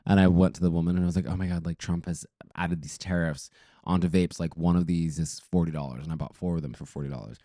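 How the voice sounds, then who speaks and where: A man's voice: low voice, fairly even intonation, quick pace, warm tone, no room sound, studio quality. A man chatting casually as a podcast guest, campy, gossipy and sweary, with a voice coming off a cold. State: getting over a cold.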